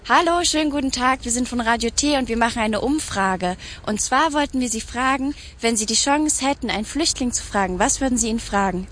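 A woman's voice: on the high side, fairly quick, few pauses, fairly bright tone, a little hiss or hum. A woman working as a radio street reporter, putting survey questions to passers-by. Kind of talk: vox pop questioning.

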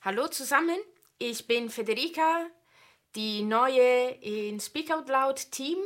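Slow, hesitant woman interviewer. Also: high voice. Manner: hesitant, many fillers. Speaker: radio interviewer. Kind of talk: radio interview.

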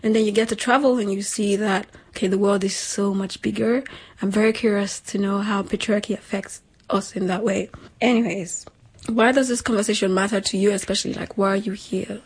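A young woman from Nigeria, co-hosting a relaxed chat with a slightly husky voice. She talks in a lively, slangy way, her pitch sitting at a middling height. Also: a little fast. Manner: animated, slangy, laughing. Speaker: podcast co-host. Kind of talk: casual chat.

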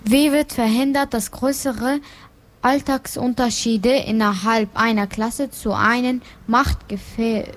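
Slow, high-voiced woman reading questions. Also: a little hiss or hum, rough recording. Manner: careful, halting reading. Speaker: interviewer.

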